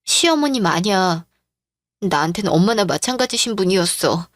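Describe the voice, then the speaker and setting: A woman's voice: medium pitch, expressive, normal speed, dry recording, clean studio sound, lightly acted. A woman playing a devoted, patient middle-aged daughter-in-law and mother in a radio-drama style story. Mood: subdued.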